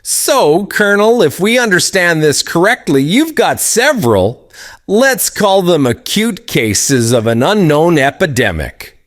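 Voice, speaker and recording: An actor playing an inquisitive, talkative FBI agent in an animated noir satire, questioning in an expressive way. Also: male voice, sits high, a little slow, no echo. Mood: probing. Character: inquisitive, talkative male FBI agent, polite but skeptical.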